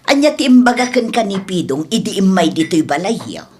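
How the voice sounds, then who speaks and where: A woman playing a frank, teasing older mother-in-law in a radio comedy drama, with an Ilocano accent and expressive interjections. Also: medium pitch, moderate pace, a little hiss or hum, natural voice. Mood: sympathetic.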